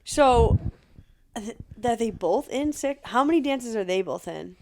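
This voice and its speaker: A woman co-hosting a podcast, chatty and quick to laugh along with her guests. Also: on the high side, expressive, noisy background. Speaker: podcast co-host. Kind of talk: casual chat.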